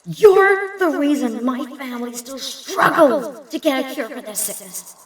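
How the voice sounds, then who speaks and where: Wounded, defiant young female avenger for audio drama, acted by a woman; slow delivery, distant echoey sound. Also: high voice, lively intonation. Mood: accusing, anguished. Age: young adult. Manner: emotional, defiant. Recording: roomy, distant, faint music behind.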